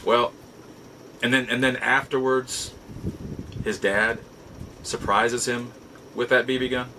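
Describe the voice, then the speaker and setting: A man's voice: medium pitch, steady tone, slow pace, pushed and bright, a little hiss or hum, rough recording. A middle-aged man casually telling personal stories as a show host, rambling in a folksy way and often rephrasing himself. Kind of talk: casual personal storytelling to camera.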